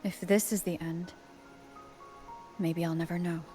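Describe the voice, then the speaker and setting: A woman's voice: fairly low pitch, steady tone, a little slow, warm tone, soft background music, natural voice. A woman voicing an earnest, composed young heroine in a video game, with a serious, understated delivery. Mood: troubled, quiet.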